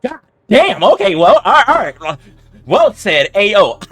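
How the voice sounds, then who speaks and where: A man doing casual, boisterous game reaction commentary as a streamer, loud, slangy and joking. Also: sits high, big pitch swings, unhurried.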